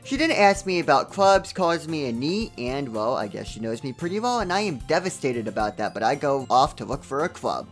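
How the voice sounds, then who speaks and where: Animated man doing comedic review narration. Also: mid-range voice, a little fast, few pauses. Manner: sarcastic, joking asides. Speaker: video game reviewer. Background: background music.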